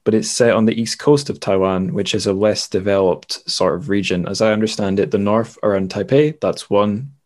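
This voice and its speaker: A man's voice: fairly low pitch, normal speed, few pauses, darkish tone. A man hosting a casual book discussion, informal and tentative, often qualifying what he says. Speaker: podcast host.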